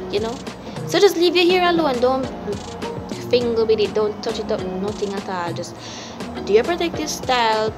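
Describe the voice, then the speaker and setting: Young woman, Jamaican accent, blunt chatty vlog talk, phone at arm's length, loud music underneath. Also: medium pitch. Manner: chatty and blunt, mixes Jamaican patois forms. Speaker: vlogger answering viewer questions. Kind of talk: casual Q&A chat. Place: indoors against a plain wall. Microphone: camera or phone at arm's length.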